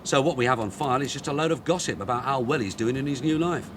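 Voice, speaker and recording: An actor playing a shrewd, blunt middle-aged police detective sergeant in a radio drama. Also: male voice, medium pitch, fast, background noise, lightly acted. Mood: brisk, sardonic. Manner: blunt, dry, probing.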